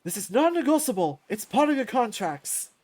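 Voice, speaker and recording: A woman's voice: medium pitch, expressive, unhurried, a little mellow. A woman voicing a huge, boisterous male beast fighter in a visual novel, jeering and commanding with a showman's swagger. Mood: loud, taunting.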